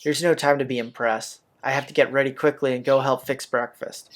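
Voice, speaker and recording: A man's voice: medium pitch, steady tone, brisk. A man hosting a playthrough, reading a visual novel's narration aloud and now and then stumbling on words. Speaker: video game playthrough host. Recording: music behind the voice. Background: game soundtrack music.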